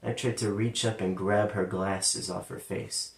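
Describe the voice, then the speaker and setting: A man's voice: low-pitched, steady tone, unhurried, gravelly. Man, central Ohio accent, rambling personal story, L slipping toward W, echoey room. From London, Ohio, USA. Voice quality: sometimes turns L into a W sound. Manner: casual, rambling, digressive. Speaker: vlogger telling his life story. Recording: roomy, distant from the mic.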